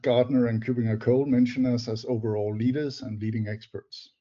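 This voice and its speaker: Older Danish man presenting, mellow voice. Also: mid-range voice. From Denmark. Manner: measured, slightly halting non-native phrasing. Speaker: presenter, technology advisor. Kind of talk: webinar presentation. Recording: dull lo-fi webinar audio.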